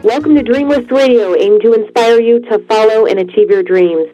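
A woman's voice: a little high, moderate pace, dark tone, highs cut off, soft background music. Upbeat woman hosting a radio show. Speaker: radio show host and life coach. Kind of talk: scripted intro then interview questions.

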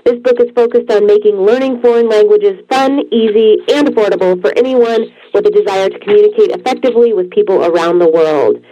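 A woman's voice: mid-range voice, mellow, dull audio. A woman hosting a radio interview show, reading an upbeat introduction and then asking questions. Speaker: radio show host and life coach.

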